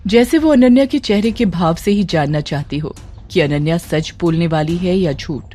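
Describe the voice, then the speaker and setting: A woman's voice: lowish voice, normal speed, warm tone. A woman narrating a scripted horror audio story in a dramatic storytelling style. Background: music under the voice.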